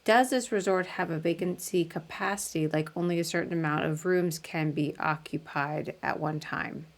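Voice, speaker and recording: A woman hosting a travel podcast over a live video call, asking questions and giving quick friendly affirmations. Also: low voice. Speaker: podcast host. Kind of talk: casual interview hosting.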